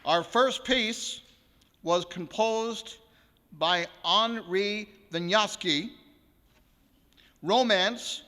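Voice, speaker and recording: A man in his sixties with a fairly high, bright voice addresses a live concert from the stage. He introduces the music like a seasoned radio presenter, mixing in personal anecdotes. The sound carries the long reverb of a large hall. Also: very slow, long pauses. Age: 60s.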